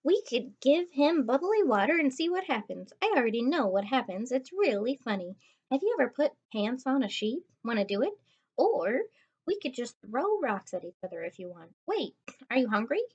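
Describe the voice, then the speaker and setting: A woman's voice: high-pitched, sing-song, moderate pace, a little mellow, slightly rough, highs cut off, pitched above the performer's own voice, full character voice. A woman voicing a pushy, mischievous little girl with a scratchy voice in a storybook reading.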